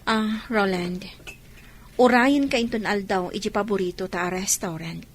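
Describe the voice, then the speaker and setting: A woman's voice: medium pitch, normal speed, lightly acted. An actress with a Filipino accent playing a weary, hurt wife and mother in a radio drama. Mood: coaxing, subdued. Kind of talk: Ilocano radio drama acting.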